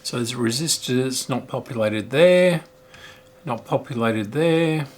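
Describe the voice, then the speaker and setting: A man's voice: mid-range voice, a little slow. A middle-aged man giving casual repair commentary as a hobbyist host, thinking aloud in a hesitant, musing way.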